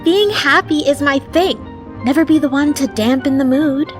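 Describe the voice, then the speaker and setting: A woman's voice: high-pitched, normal speed, lightly acted. A woman acting a cheeky, upbeat young bouncer in an audio drama, casual, teasing and slangy. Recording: music behind the voice. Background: background music.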